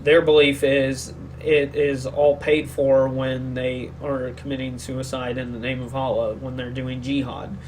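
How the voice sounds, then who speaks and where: A man's voice: medium pitch, monotone, a little slow, hardly any pauses, slight background noise, poor audio. A sick man co-hosting a podcast, rambling through a casual opinionated discussion and often searching for words. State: sick, speaking more quietly than usual. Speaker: podcast co-host.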